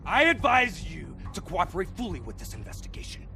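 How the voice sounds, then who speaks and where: Volatile, sarcastic soldier, swinging pitch. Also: male voice, huge pitch swings, brisk, gravelly, heavily acted. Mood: cold, pressing. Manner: confrontational, sardonic, barking. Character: hot-headed, sarcastic adult male special forces soldier. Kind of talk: video game cutscene acting. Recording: loud music behind. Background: loud score.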